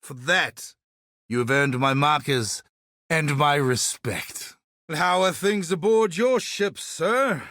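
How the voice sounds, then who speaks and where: A man's voice: a little high, lively intonation, slow pace, pushed and bright, dry recording, studio quality, heavily acted. An actor voicing a proud, earnest and wry islander man who seeks justice for his enslaved people, in scripted video game dialogue. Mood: proud, resolute, then casual, chirpy.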